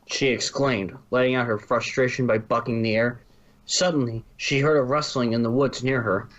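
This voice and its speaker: A man's voice: mid-range voice, fairly even intonation, moderate pace, a bit bright, muffled recording. A man reading scripted story narration, now and then stumbling and rereading a phrase.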